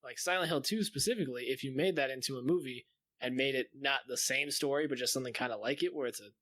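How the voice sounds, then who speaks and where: A man's voice: mid-range voice, fast, fairly bright tone, dry recording. A man talking casually on a podcast, slangy and enthusiastic. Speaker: podcast co-host. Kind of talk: casual chat.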